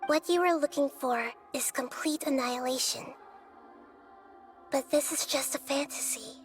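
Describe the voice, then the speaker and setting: Game role: a childlike, sage deity, high-pitched, calm and measured, soft score underneath. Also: female voice. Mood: solemn. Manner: measured, thoughtful, composed. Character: wise, gentle, childlike little female deity. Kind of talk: video game voice acting. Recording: background music under voice.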